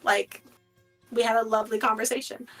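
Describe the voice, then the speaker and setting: A woman's voice: fairly high pitch, flat delivery, a little fast, a bit bright, soft background music, poor audio. A woman from Latin America, a guest in a casual interview, talking candidly and reflectively with many filler words.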